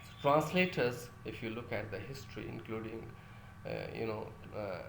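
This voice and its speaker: A man's voice: lowish voice, normal speed, gravelly, roomy sound, noisy background. A male lecturer whose first language is Urdu, teaching a class with many fillers and restarts and putting questions to his listeners.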